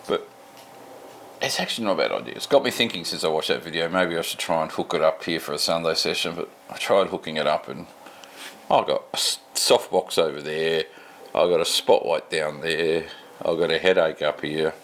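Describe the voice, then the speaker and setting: A man's voice: extremely low pitch, a little fast, creaky, fairly bright tone, a little hiss or hum. A middle-aged man with an Australian accent, tired and rambling casually to camera in an unscripted home vlog. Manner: rambling, laid-back, self-deprecating. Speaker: vlogger. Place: home at night.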